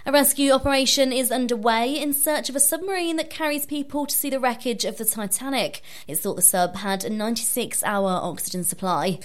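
A woman reading a radio news bulletin in a crisp, formal manner. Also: on the high side, brisk, hardly any pauses. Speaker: newsreader.

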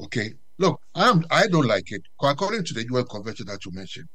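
A man from Ghana giving unscripted commentary as a guest, halting and full of fillers and restarts. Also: medium pitch, big pitch swings, a bit bright. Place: UK resident.

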